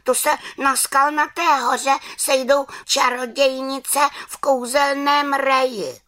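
A woman's voice: high voice, fairly even intonation, sharp tone, pitched above the performer's own voice. A woman voicing a small, childish young witch in a children's storybook, speaking in an emphatic, sulky manner. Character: small young witch girl, aged 127, childish and stubborn.

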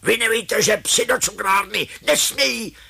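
A man voicing a gruff, hot-tempered male confectioner who shouts with blustering indignation in a children's story. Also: high-pitched, voice pushed up, exaggerated voice. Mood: furious.

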